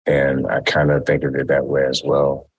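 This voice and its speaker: A man hosting an interview in a relaxed, casual way, using everyday metaphors. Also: rumbling low, normal speed, breathy edge.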